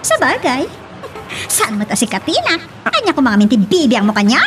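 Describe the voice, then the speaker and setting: Sassy young Filipino daughter, piercingly high, animated, radio serial with loud music bed. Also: female voice, normal speed. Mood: taunting. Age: young adult. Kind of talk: Filipino radio drama acting.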